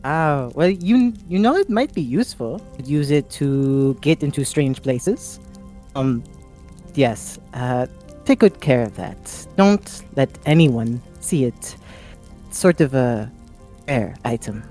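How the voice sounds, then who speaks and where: A player voicing an awkward, guarded but kindly wandering sky cowboy in an improvised tabletop audio drama, with hesitant, halting speech. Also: mid-range voice, expressive, mellow. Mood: guarded, caring. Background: music.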